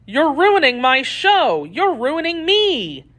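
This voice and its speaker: A woman's voice: high-pitched, very animated, slow pace, a bit bright, pitched above the performer's own voice, full character voice. Woman as a dramatic, swooping male puppet showman. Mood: distraught, wailing. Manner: theatrical, effusive exclamations. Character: wily male showman, gushing, dramatic, easily distraught.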